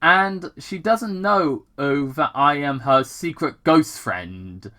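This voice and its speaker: Playful young male creature, put on by a fanfic reader, bright voice, earnest, very swooping pitch, story narration. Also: expressive, takes it slowly. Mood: fond.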